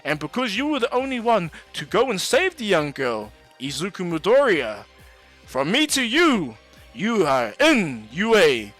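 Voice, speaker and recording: A man's voice: sits high, huge pitch swings, a little slow, fairly bright tone, soft background music, voice pushed up, full character voice. A man voicing a grand, encouraging male superhero teacher from an anime story, proclaiming formally and emphatically. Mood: proud, welcoming. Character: All Might, booming heroic male teacher, grandly encouraging.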